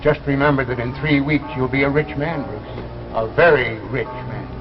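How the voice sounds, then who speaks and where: Casting a man as a grave, persuasive secret-project recruiter, mid-pitched and insistent, 1950s sci-fi drama, long echo on dull old audio. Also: normal speed, lightly acted. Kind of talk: 1950s science fiction anthology drama acting. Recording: echoing, dull vintage 1950s broadcast, faint music.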